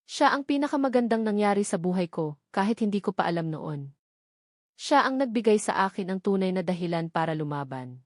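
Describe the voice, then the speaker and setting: A woman's voice: mid-range voice, animated, a little fast, darkish tone, dry recording, clean studio sound, lightly acted. A Filipino woman voicing an earnest, remorseful young prince who declares his love in an audiobook romance, pleading solemnly. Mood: tender, determined.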